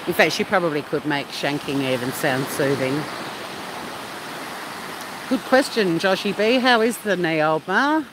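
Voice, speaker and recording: Aussie woman just chatting to her stream, takes her time, cheeky and blunt, loud rushing noise behind her. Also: low voice. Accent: Australian. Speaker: live stream host. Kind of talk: casual chat replying to live viewers. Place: outdoors at a beach. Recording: loud steady wind or surf noise.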